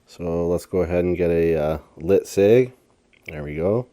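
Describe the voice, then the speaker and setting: A man's voice: low voice, measured pace, mellow. A man talking casually to viewers as an eating vlogger, with a laid-back, slangy, playful way of speaking. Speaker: food and lifestyle vlogger.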